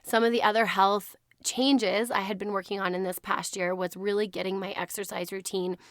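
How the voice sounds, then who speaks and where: A hoarse woman hosting her podcast in a casual, chatty way, laughing often. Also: medium pitch, flat delivery, normal speed, no room sound, studio quality. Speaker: podcast host. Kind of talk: casual chat.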